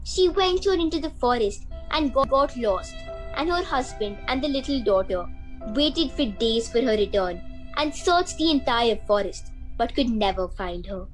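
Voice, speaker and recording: Girl acting bold blunt mom in amateur radio drama, high pitched, really animated, theatrical. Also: normal speed, soft background music, close to an everyday voice, poor audio. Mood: defiant. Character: bold, blunt mother and houseguest, defiant.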